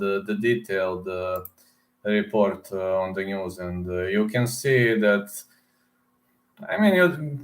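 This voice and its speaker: A fairly low-voiced man with a Serbian accent hosts a relaxed live stream at his desk mic. He answers viewers in English as he goes, and he says things over several times with lots of "uh"s. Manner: talks straight to chat, repeats words, many fillers. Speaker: livestream host reading chat. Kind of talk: casual live-stream chat about fight picks.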